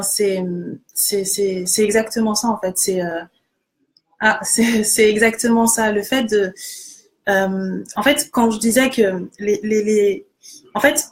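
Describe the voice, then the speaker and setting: A woman hosting a casual conversation, agreeing enthusiastically and explaining with many fillers. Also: mid-range voice. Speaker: host.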